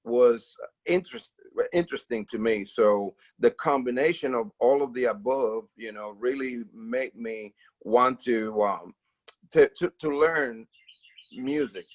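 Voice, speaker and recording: A man from the Dominican Republic with a Dominican Spanish accent, answering casually as an interview guest, haltingly, with many fillers and repeated words. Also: mid-range voice. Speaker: interview guest, percussion educator.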